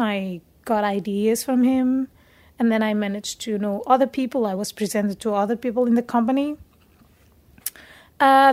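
A young Portuguese woman talks casually about her own experiences as an interviewee. Her voice sits a little high, and her accent is Portuguese. English is not her native language, so she hesitates and searches for words as she goes. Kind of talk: casual personal storytelling in an interview.

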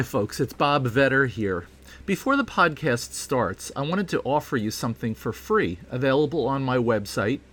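A man hosting a podcast, introducing a guest and reading a promo in a measured way. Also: medium pitch, fairly bright tone. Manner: measured, spells out web addresses slowly. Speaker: podcast host.

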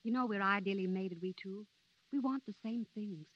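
An actress playing a cloying, prim, possessive fiancée in a 1930s radio drama, genteel in manner. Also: female voice, mid-range voice, moderate pace, natural voice. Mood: cloying, tender. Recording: dull vintage 1930s radio recording.

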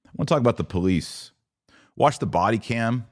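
A man hosting a podcast, giving solo commentary on the news in an earnest, emphatic way with short, deliberate phrases. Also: medium pitch, animated.

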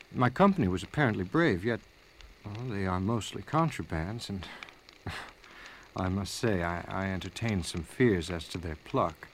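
Casting: a proud Union army officer, lower register, measured and emphatic battle report, slight background noise. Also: male voice, low-quality recording. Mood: candid. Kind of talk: dramatic reading of a historical battle report.

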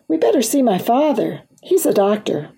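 Woman voicing a friendly, mellow storybook girl. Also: fairly high pitch, very animated, moderate pace, creaky, slightly rough, voice pushed up, full character voice. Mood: helpful. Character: friendly, helpful small-town girl in a storybook.

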